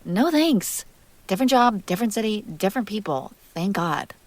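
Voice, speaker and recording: A woman playing a jealous, hurt young woman confronting her crush in an intimate audio roleplay, halting, sarcastic and emotionally raw. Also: medium pitch, very animated, darkish tone, lightly acted. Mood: defiant, cool.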